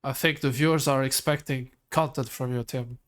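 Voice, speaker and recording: A man co-hosting an online racing league show, asking drivers post-race questions in a friendly, halting way over a voice call. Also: mid-range voice, fairly even intonation. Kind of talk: joking post-race interview.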